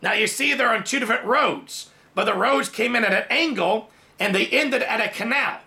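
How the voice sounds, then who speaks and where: A man in his forties, raised in Thailand, preaching a sermon in his study and telling a personal testimony with lively hand gestures, recorded on a camera at desk distance. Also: very high-pitched, moderate pace. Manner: animated storytelling with big hand gestures. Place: home study with bookshelves, indoors.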